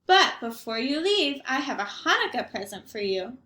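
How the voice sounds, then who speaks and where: A woman's voice: sits high, sing-song, normal speed, reverberant, background noise. A woman voicing a kindly, fussing old grandmother who cannot see or hear well. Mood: fond. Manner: fond, fussing and sing-song. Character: kindly old woman, half blind and half deaf, chatty.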